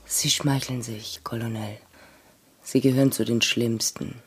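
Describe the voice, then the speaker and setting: An actress in a radio drama playing a guarded, duplicitous secret agent in a dramatic, intense manner. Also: female voice, extremely low pitch, steady tone, unhurried. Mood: contemptuous.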